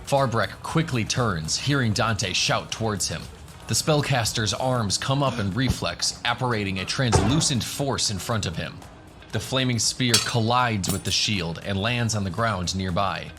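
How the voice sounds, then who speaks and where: A man's voice: on the low side, lively intonation, measured pace. A man giving scripted narration for a fantasy audio drama. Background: music.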